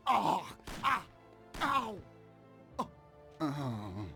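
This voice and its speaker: Dying mobster for a crime game: slow, creaky, bitter, halting, in pain, music underneath. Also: male voice, sits high, huge pitch swings, sharp tone, exaggerated voice. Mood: agonized. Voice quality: gravelly, creaky. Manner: halting, broken phrases with gasps and repeats. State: in pain, labored breathing. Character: wounded, dying gangster, bitter former friend of the man he addresses. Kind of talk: dramatic video game voice acting.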